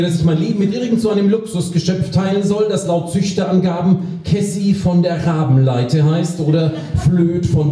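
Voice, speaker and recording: A man in his thirties with a fairly high voice reads a humorous piece on a club stage. His delivery is deadpan, with theatrical pauses. The sound is very echoey and picked up from a distance, with an audience in the room. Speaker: poetry slam performer. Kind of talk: humorous spoken-word performance read from text. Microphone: stand microphone on stage, picked up by distant camera. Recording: very echoey hall, distant camera microphone, audience.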